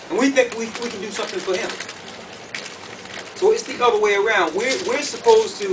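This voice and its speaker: A man with a high-pitched voice teaches like a preacher at a conference, driving points home with repetition and questions. The microphone sits well away from him, and clear background noise runs under his words. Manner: emphatic, repeats phrases, puts questions to listeners. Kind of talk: sermon, conference teaching to a group. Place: conference room with an audience. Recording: distant mic, clearly noisy room recording.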